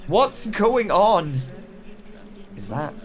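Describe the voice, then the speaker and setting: A man's voice: high voice, sing-song, drawn-out words, pitched above the performer's own voice, full character voice. A man playing a bewildered, anxious character in a sci-fi audio drama. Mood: alarmed, confused.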